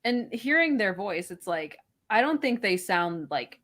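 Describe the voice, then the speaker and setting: A woman's voice: mid-range voice, sing-song, unhurried, fairly bright tone. A woman co-hosting a casual podcast chat, hesitant and full of fillers. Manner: hesitant, filler-heavy, giggly. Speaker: podcast co-host.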